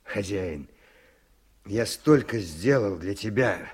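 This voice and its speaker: An actor playing a desperate, servile henchman in a radio play, with a husky creaky voice and halting, stammering speech. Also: fairly low pitch, lively intonation, darkish tone, exaggerated voice. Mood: pleading, hurt. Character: desperate, servile male henchman pleading for his job.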